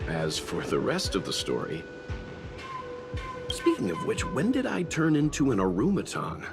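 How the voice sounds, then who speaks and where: A man voicing a calm young man who comments dryly in a game cutscene. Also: medium pitch, normal speed, vocal fry. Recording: game audio over music.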